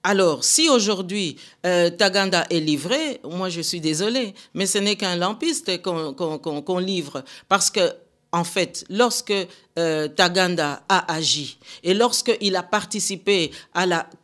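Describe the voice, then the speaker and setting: A woman's voice: on the low side, a little slow, fairly bright tone. An older woman, a guest answering radio interview questions in a firm, indignant, emphatic way from a studio. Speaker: political guest.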